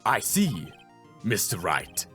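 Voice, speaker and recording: A man voicing a solemn elderly courtroom judge in a video game, slow and formal in manner. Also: on the low side, wildly swooping pitch, bright, forceful tone, soft background music, voice pushed down, full character voice.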